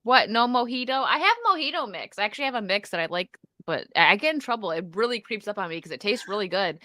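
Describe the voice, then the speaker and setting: Bubbly giggly woman streamer, bright voice. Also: medium pitch, animated, fast talker, dry recording, clean studio sound. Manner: giggly, bubbly, laughs often. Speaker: livestream host. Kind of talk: casual chat reading donations.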